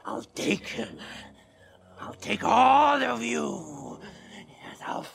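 A woman voicing a menacing male monster clown hungry for fear, in a slow, threatening, breathy rasp for an audio story. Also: a little high, very animated, voice pushed up, full character voice. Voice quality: breathy, raspy rasping hiss. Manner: slow, threatening, swooping delivery.

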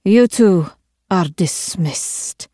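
A woman's voice: fairly low pitch, animated, very slow, warm tone, no room sound, clean studio sound. A woman voicing a stern, imperious queen in an audiobook story, cold, clipped and commanding. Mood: sharp, commanding. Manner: clipped, authoritative, scolding.

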